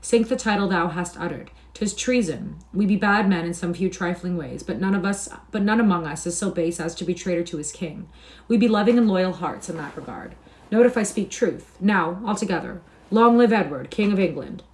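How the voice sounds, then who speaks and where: A woman's voice: on the low side, animated, brisk, a little mellow, natural voice, rough recording. A woman voicing a burly male gang chief in a storybook, firm and good-natured, with archaic rogue speech. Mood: firm, commanding. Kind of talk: storybook character reading.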